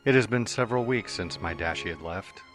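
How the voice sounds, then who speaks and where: Male narrator, deep voice, earnest first-person reading over faint music. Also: animated, fairly quick, fairly bright tone. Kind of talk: fan audiobook reading. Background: faint background music.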